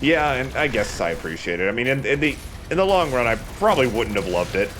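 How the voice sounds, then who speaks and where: A man's voice: mid-range voice, big pitch swings, brisk, noisy background. A man giving casual commentary over a video game, talking in a conversational let's play style. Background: video game sound.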